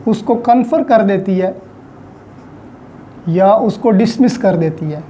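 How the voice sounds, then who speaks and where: Mellow-voiced Pakistani law lecturer. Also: mid-range voice, animated, a little hiss or hum. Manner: deliberate, repeats and explains terms, mixes in English legal terms. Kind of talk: explanatory lecture.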